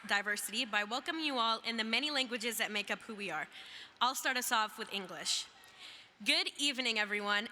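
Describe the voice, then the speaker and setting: A young woman who grew up in Iraq and speaks Arabic natively, giving a measured, emphatic speech as a student speaker at a graduation. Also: a little high, sharp tone. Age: young adult. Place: large hall. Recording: reverberant hall with faint noise.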